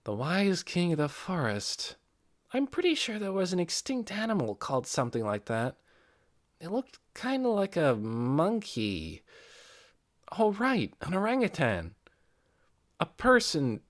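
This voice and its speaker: A man's voice: sits high, voice pushed up, exaggerated voice. A man voicing an undead hero musing to himself, hesitant and rambling, in an audiobook. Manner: hesitant, rambling, thinking aloud. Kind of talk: audiobook inner monologue.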